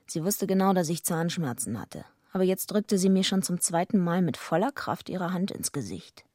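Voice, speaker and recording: An actress playing a tough female wrestler and night watchwoman who narrates bluntly and deadpan in a radio play. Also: on the low side, moderate pace, no room sound, studio quality. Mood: deadpan, scornful.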